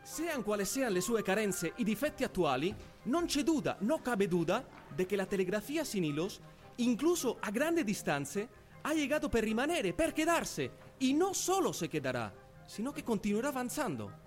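A man's voice: sits high, sing-song, moderate pace, faint music behind. A man playing an Italian inventor in a radio drama, giving a formal, confident speech in Italian-accented Spanish mixed with Italian words. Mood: emphatic, confident.

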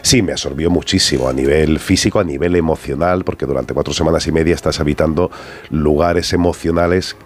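A man in his fifties with an Andalusian accent talks as a guest on a radio show. His voice sits very low, and he speaks quickly. He is loose and reflective, often adding fillers and tag questions. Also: steady tone. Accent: Andalusian Spanish. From Andalusia, Spain. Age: 50s. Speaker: actor guest. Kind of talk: radio interview.

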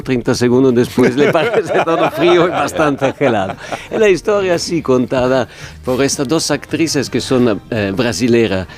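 A man with a Swiss Italian accent, mixed with some Mexican Spanish, talks as a radio guest at an average pitch. He tells his stories in a playful, animated way. Soft music plays faintly behind his voice. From Italian-speaking Switzerland. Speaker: guest, theatre director. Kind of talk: radio interview.

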